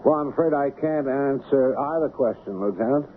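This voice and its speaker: An actor playing a guarded, genteel shipping boss in an old radio mystery, formal, deliberate and halting. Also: male voice, medium pitch, natural voice. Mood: polite, guarded. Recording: narrow, noisy old radio broadcast.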